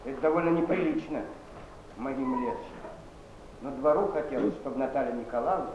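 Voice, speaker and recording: A man's voice: medium pitch, brisk, echoey room, lightly acted. An actor playing a wry, bitter man at court in a stage drama, recorded muffled and noisy. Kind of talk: theatrical stage acting.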